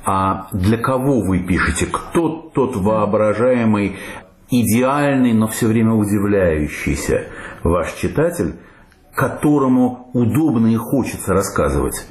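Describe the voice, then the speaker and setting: A man's voice: fairly low pitch, animated, a little slow. A man interviewing a guest with long, winding, probing questions. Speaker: radio interviewer. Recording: roomy studio with faint hiss.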